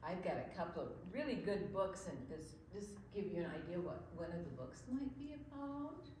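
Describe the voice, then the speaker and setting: An older woman co-hosting a children's storytime, chatting playfully and reading picture books aloud in an unhurried way. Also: on the low side, lively intonation, mellow, a little hiss or hum. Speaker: children's storytime co-host and book reader.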